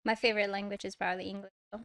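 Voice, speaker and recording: A young woman from Morocco, a native Arabic speaker with an accent, chatting as a podcast guest in a hesitant, soft manner full of fillers and small laughs. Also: mid-range voice, little pitch movement, very fast. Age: young adult. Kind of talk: casual interview chat. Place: small indoor studio, on a couch. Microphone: lavalier mic.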